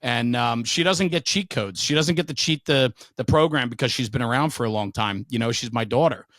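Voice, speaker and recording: A man's voice: mid-range voice, a little fast, a bit bright, no room sound. A middle-aged man telling stories casually as an interview guest, frank, emphatic and opinionated. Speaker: podcast guest, veteran firefighter and speaker.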